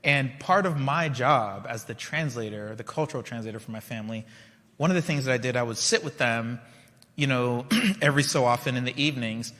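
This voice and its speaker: Bright-voiced man speaking in a reverberant hall. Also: medium pitch, fairly quick. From Liberia. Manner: conversational, storytelling, checks in with the audience. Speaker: keynote speaker. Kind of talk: conference keynote speech. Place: large conference hall.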